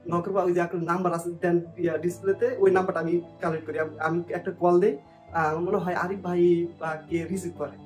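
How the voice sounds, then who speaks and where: A young man with a Bangladeshi accent giving a personal account, halting as he searches for words. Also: sits high, flat delivery, a little mellow, faint music behind. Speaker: guest.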